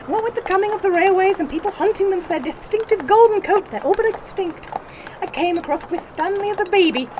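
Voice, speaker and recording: A woman's voice: very high voice, background noise. A woman voicing a kindly, wary, giant male cart driver with a folksy, rambling manner in a comic audio drama. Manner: folksy, rambling, dialect grammar.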